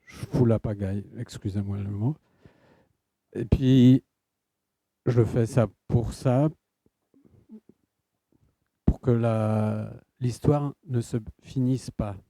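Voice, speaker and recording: A man with a Polish accent, an author answering audience questions in a halting way, often stopping to search for words. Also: medium pitch, fairly even intonation, vocal fry, dark tone, no echo. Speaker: guest author.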